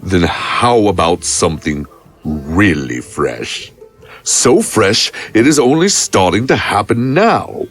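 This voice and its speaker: A man playing a mock-polite, sinister infernal waiter in a horror audio drama, husky and savouring each phrase. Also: sits low, very animated, takes it slowly, fairly bright tone, faint music behind, exaggerated voice. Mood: sly taunting. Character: smugly courteous infernal waiter, mocking and sinister.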